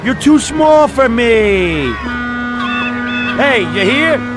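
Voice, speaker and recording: High, shouting gangster. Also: male voice, expressive, drawn-out words, full character voice. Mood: taunting, defiant. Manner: shouting taunts and threats. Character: snarling, taunting gangster under attack, contemptuous and desperate. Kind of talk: video game voice acting. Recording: loud music over the voice.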